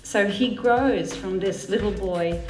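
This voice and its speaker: A woman's voice: mid-range voice, slow, darkish tone. A woman talking earnestly about a book she helped create, often repeating words. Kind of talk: promotional talk. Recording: roomy recording with music behind.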